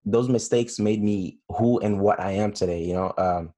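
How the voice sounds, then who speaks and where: A young man in his late twenties with a fairly low voice hosts a casual talk. He sounds friendly and encouraging, calling his guest "brother" and affirming him often. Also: normal speed, mellow. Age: 20s. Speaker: host. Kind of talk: casual interview.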